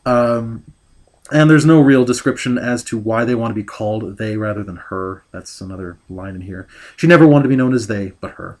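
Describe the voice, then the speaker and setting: A man's voice: lowish voice, darkish tone. A man giving a critical book review as a rant. He sounds exasperated, stops and restarts often, and records at home on a desktop mic. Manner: exasperated, ranting; halting, full of restarts.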